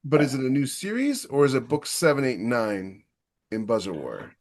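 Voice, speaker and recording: A man with a medium-pitched voice chats as a podcast host. He asks probing questions and gives opinions in a blunt, informal way. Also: big pitch swings, moderate pace, a little hiss or hum. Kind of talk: casual interview chat.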